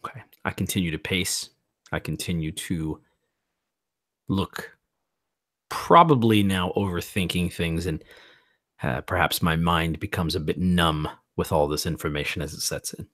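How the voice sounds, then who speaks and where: A man playing a tabletop roleplay game, thinking aloud in a deliberate, musing way. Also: low voice, fairly bright tone, dry recording, clean studio sound.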